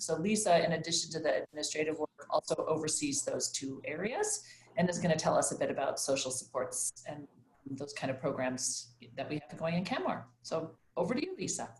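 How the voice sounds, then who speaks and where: A woman introducing the next speaker at an online town meeting. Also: on the low side. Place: video call. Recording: echoey room, faint noise.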